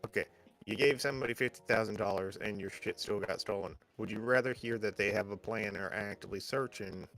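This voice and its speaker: A man's voice: on the low side, fairly quick, vocal fry, a bit bright, faint music behind. A man playing a tabletop roleplaying game, talking casually in character, blunt and sweary as he argues out plans. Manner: blunt, profane. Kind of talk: casual in-character banter and planning.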